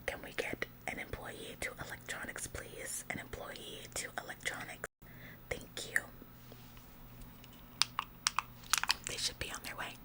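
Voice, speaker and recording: An officious retail worker, played by a woman for an ASMR roleplay, whispers throughout. She is smug and passive-aggressive, repeating herself in halting little nags as if she were in charge. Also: close to an everyday voice. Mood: eager, important. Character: bossy, officious female store employee, smug tattletale. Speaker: ASMR performer.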